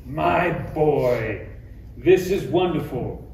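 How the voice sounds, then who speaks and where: A man's voice: fairly high pitch, animated, very slow, gravelly, darkish tone, exaggerated voice. A man playing a suave, condescending villainous count with pompous phrasing in a parody table read. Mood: smug. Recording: echoey room, distant mic, faint noise.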